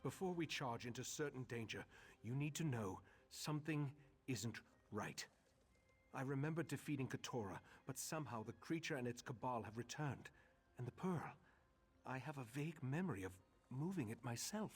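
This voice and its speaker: A grave, commanding elder master of a fantasy order. He speaks formally and with measured care, in a middle-pitched voice that is a touch husky, as in video game dialogue. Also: lively intonation. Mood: uneasy.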